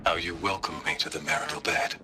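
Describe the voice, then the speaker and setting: A solemn grieving warrior. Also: male voice, very deep voice, steady tone, quick pace, noisy background. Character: grieving stern warrior widower recording a memory. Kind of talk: game voice acting, recorded monologue.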